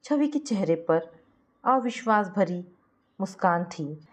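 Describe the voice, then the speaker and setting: A woman's voice: medium pitch, lively intonation, slow pace. A woman reading a scripted family moral story as its narrator.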